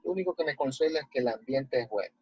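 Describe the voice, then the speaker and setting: A male teacher with a Latin American Spanish accent explains and reads aloud in a didactic way. His voice is husky and sits at a middling pitch. The recording is muffled and very dry, with little room sound. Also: expressive, quick pace, no room sound. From Ecuador. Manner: didactic, checking with rhetorical questions. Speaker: high school language and literature teacher. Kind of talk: online lesson, explaining and reading a text aloud.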